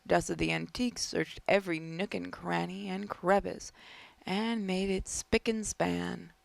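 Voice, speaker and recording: A woman's voice: fairly low pitch, vocal fry, dry recording. A woman hosting a gaming video, reading visual novel text aloud expressively with casual commentary.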